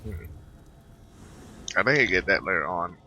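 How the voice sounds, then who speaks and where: A man giving casual, halting commentary over a video game playthrough in short muttered reactions. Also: low-pitched, lively intonation, sharp tone, breathy edge. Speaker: gaming video maker.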